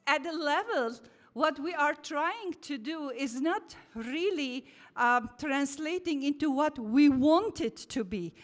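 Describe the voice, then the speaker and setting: A woman from Lebanon giving an earnest, heartfelt address at a community lodge meeting in a church hall. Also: high voice. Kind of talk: formal address. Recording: hall reverb.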